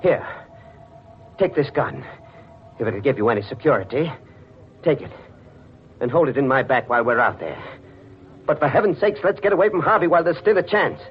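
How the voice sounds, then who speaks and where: A man acts out a persuasive, urgent doctor with something scheming about him. His delivery is theatrical and swings widely in pitch, in the manner of vintage radio thrillers. The recording is muffled, with music around it. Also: lively intonation, fairly quick, long pauses, natural voice. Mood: urgent, insistent. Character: insistent, scheming male doctor urging a frightened woman along. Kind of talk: old-time radio thriller acting.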